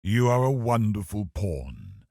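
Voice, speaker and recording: A cold, scheming religious officer of a video game villain. The voice is very deep and rough-edged, with slow, formal, calculating delivery that swings widely in pitch. It is recorded close and dry. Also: male voice, huge pitch swings, warm tone, no room sound, heavily acted. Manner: measured, haughty, calculating, formal. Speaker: game boss character. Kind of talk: dramatic video game voice acting.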